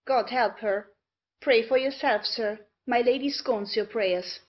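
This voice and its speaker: A slightly muffled audio play recording. A woman acts a tart old gentlewoman in service, her voice on the high side, prim and clipped in period comedy style. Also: unhurried, close to an everyday voice. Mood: offended. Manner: clipped, haughty. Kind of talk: theatrical period comedy acting.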